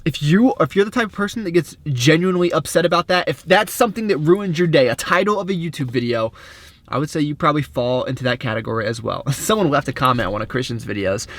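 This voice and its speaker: A man's voice: on the high side, quick pace, a bit bright. A young man talking casually to his vlog audience, upbeat and smiley, rambling with frequent restarts as he gives his opinions. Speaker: fitness and cooking vlogger.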